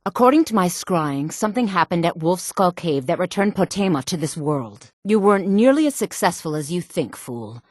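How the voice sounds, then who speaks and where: A woman's voice: fairly low pitch, big pitch swings, moderate pace, few pauses, a little mellow, no room sound, studio quality. An actress playing a haughty woman mage in a fantasy video game, speaking in a sultry, formal, imperious way. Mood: scornful. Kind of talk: video game character voice acting.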